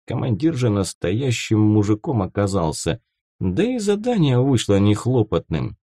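A man narrating fiction from a script as an expressive audiobook reader. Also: medium pitch, no room sound, studio quality.

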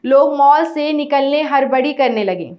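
A young woman news anchor reading a scripted report in a steady, formal way from a studio. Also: high voice, unhurried, highs cut off.

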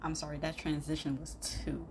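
A young woman giving casual, expressive reaction commentary in an informal, admiring way. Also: low-pitched, moderate pace, over loud music. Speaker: reaction video host.

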